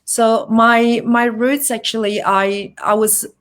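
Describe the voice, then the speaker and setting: A woman's voice: fairly high pitch, little pitch movement, slow. A middle-aged woman with a Malaysian accent, chatting as a guest in a live interview over a video call, rambling with restarts and laughing easily.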